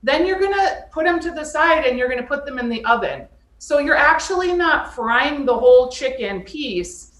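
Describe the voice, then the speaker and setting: A woman's voice: fairly high pitch, fairly bright tone, echoey room. A woman guest explaining how to cook chicken, practical and step by step. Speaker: cooking guest. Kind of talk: cooking tips.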